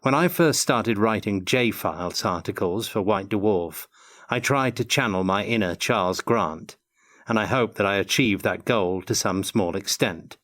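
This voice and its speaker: An older man giving measured, articulate answers as an interview guest in a prepared Q&A. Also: on the low side, a bit bright. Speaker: interview guest, tabletop game designer.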